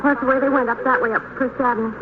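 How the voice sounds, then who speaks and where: A woman's voice: a little high, fairly even intonation, rapid-fire, close to an everyday voice. An American woman acting as a rattled, fretful New York witness pleading and explaining in a 1950s radio drama. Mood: shaken, explaining. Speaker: actress playing robbery witness. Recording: tinny, very noisy old radio recording.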